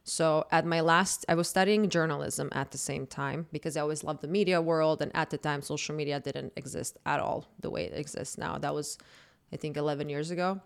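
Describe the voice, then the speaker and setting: A woman, telling her life story in a casual podcast chat, animated and laughing often. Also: deep voice, fairly quick, gravelly, clean tone. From Croatia. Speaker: podcast host and dating content creator.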